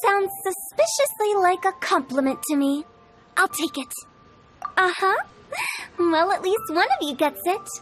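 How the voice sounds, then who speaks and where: Playful, high-pitched quirky girl. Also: animated, measured pace, fairly bright tone, full character voice. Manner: breezy, theatrical, confident. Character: playful, quirky young woman with whimsical theories. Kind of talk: video game dialogue. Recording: music behind the voice.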